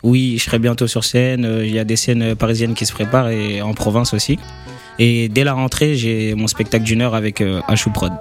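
A man's voice: lowish voice, steady tone, a little fast, soft background music. A man in his twenties, a comedian guest on a radio show, joking around and laughing often. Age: 20s. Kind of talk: casual radio chat. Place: radio studio.

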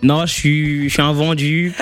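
A man's voice: a little high, flat delivery, moderate pace, faint music behind. A man in his twenties, a comedian guest on a radio show, joking around and laughing often. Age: 20s. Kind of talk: casual radio chat. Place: radio studio.

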